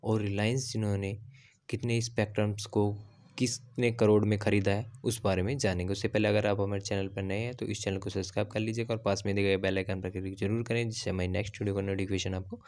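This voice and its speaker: A man's voice: on the low side, level tone, a little mellow. A man hosting his own channel, talking through auction figures shown on screen and reading lists of regions and numbers. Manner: reads slowly and haltingly in English passages; lists circles and figures off slides. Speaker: channel host. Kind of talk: explainer reading documents on screen. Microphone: voice-over over slides.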